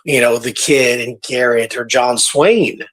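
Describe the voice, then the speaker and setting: A man hosting a radio show, telling a historical case in a casual, animated, conversational way. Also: mid-range voice, a little slow.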